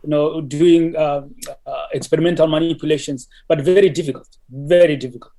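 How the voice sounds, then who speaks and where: A middle-aged man from Zimbabwe answers interview questions in a soft, mellow voice that sits a little high for a man. He talks thoughtfully, often stopping to restart and repeat words before going on. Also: steady tone, brisk. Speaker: interview guest, mathematics professor.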